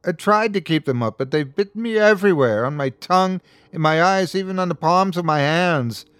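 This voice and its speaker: A man's voice: fairly high pitch, big pitch swings, normal speed, no echo, voice pushed up. Guy doing a scared worn-out young man begging, wavery and raised, audiobook drama. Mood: weak, suffering. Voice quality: faint, wavering, sob-like. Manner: pleading, exhausted. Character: weak, frightened young man pleading to be let inside.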